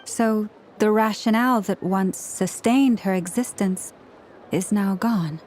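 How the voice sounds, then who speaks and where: An actress playing a calm, inquiring young woman adventurer in a video game, speaking in a measured, earnest way. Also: medium pitch, lightly acted. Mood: earnest, puzzled. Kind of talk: scripted video game character dialogue. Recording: music behind the voice.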